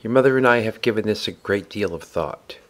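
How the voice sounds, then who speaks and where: A man playing a gentle, apologetic father, serious and measured, in an audio drama. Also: fairly low pitch, lightly acted. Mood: grave, apologetic.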